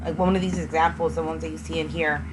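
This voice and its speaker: A young woman who grew up in Texas, a native Spanish speaker, earnestly telling her own story as an education student and pausing often with ums. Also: sits low, flat delivery. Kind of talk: personal testimony. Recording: noisy with passing vehicle sounds.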